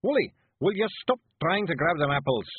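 Storybook role: small proud Scottish warrior, high and husky, exclamatory and spoiling for a fight. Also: male voice, very animated, brisk, narrow, tinny audio. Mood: urgent. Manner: exclamatory, combative, broad dialect. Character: tiny fierce Scottish male clan chief, proud and indignant.

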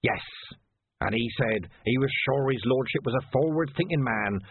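A man's voice: mid-range voice, normal speed, creaky, phone-quality sound, slight background noise, voice pushed up, natural voice. A man voicing an eccentric, sulky male gravedigger who lives in the crypts and talks in a wheedling, pleading way. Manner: wheedling, pleading, faux-old-fashioned speech.

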